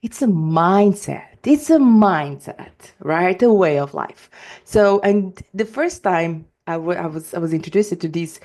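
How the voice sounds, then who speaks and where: A woman's voice: on the low side, lively intonation, measured pace, a little mellow. A woman from Portugal with a Portuguese accent, a coach hosting her own podcast, talking emphatically with many fillers and restarts. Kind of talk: solo podcast talk.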